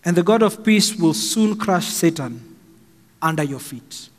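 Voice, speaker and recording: A middle-aged Kenyan man preaching a slow, emphatic sermon from a pulpit stand mic in a large church hall. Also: on the high side, expressive. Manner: slow and emphatic, raising his voice for stress. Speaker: pastor. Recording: long hall reverb, faint music.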